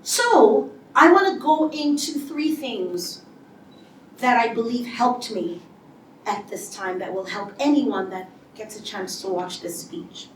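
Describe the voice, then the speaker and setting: A woman delivers a motivational speech, acting out quoted lines as she tells her story. Her voice sits fairly high and comes from some distance off the mic. The big hall around her echoes, and a faint hiss runs underneath. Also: slow. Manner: animated storytelling with acted-out quotes. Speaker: speaker. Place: large hall before an audience.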